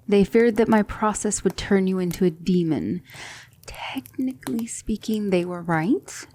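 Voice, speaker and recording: A woman playing a gentle, patient demon mentor in an ASMR roleplay, speaking softly and often in a whisper, soothing and reassuring. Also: medium pitch, slight background noise.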